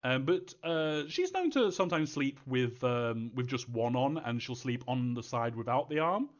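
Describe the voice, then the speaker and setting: A man's voice: mid-range voice, sing-song, moderate pace, dull audio. A man answering fan questions as a game creator in a casual live Q&A, rambling hesitantly and thinking aloud as he goes.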